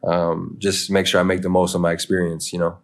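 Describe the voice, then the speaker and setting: Deep, flat-voiced American man. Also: brisk, vocal fry. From United States. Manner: relaxed and rambling, peppers talk with "man" and "you know". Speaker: interview guest, pro basketball player. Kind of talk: casual podcast chat.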